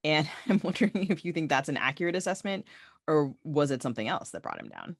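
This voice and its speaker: An American man speaks as a podcast host in a conversational interview style. His intonation rises and falls in an animated way, and the sound is dry, with no room around it. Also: on the high side, quick pace, gravelly, no room sound. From United States.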